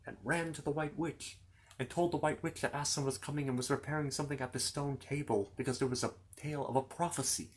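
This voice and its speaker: A man's voice: fairly high pitch, fairly even intonation, quick pace. A man telling a children's fantasy story in an improvised ASMR style, rambling along with asides and repeated phrases.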